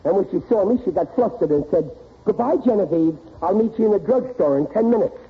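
A man's voice: sits high, expressive, quick pace. An actor playing a suspicious, blustering middle-aged American working-class father in a 1940s radio sitcom, grumbling in ungrammatical speech. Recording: tinny old radio broadcast recording.

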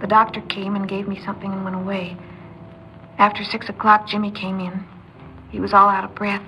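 Anxious young American woman, sharp bright voice. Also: medium pitch, monotone, brisk, slight background noise, poor audio. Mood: haunted, subdued. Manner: earnest, pleading, emotional. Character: anxious, devoted young woman, a criminal's pregnant lover. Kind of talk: mid-century American crime radio drama acting, confessional narration and dialogue.

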